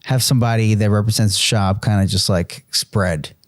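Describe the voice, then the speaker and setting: A man's voice: lowish voice, fairly even intonation, normal speed, no echo, clean studio sound. A man in his early forties co-hosting a casual podcast chat, rambling with many restarts and fillers. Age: 40s. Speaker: podcast co-host.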